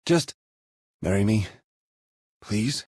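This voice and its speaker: An actor playing a reckless, lovesick male spy in a game cutscene, earnest and halting. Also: expressive, darkish tone, no echo, studio quality, full character voice. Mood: flustered, tender. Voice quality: low, husky voice. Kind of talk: video game cutscene acting.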